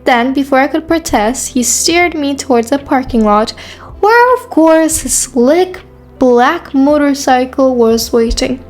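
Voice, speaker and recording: A woman playing a guarded, sassy high school girl in a first-person romance story, with a skeptical, dry manner. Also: measured pace, soft background music. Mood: reflective, wary.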